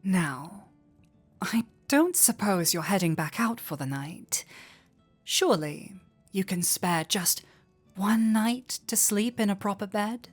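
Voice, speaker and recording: Slow, flirty fantasy innkeeper played by a woman. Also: mid-range voice, expressive, slightly rough, faint music behind. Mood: playful, insistent. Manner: coy, playful, unhurried teasing. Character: flirty, teasing, warm-hearted fantasy tavern innkeeper. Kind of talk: intimate roleplay audio drama.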